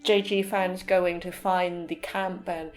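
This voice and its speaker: A woman's voice: fairly low pitch, little pitch movement, takes it slowly, faint music behind. A woman speaking as a podcast guest in casual, somewhat hesitant conversation, often rephrasing as she goes. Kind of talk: casual chat.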